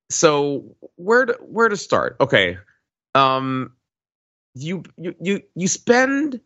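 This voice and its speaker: A man chatting casually as a podcast co-host, halting and hedging, often wandering into asides. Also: fairly low pitch, a bit bright. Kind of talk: casual chat. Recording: slightly dull, lo-fi audio.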